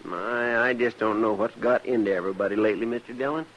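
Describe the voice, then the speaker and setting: An actor playing a chatty, deferential frontier deputy in an old-time radio western, with eager, rustic country talk. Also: male voice, fairly low pitch, steady tone, fast, fairly bright tone, natural voice. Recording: dull, hissy old radio recording.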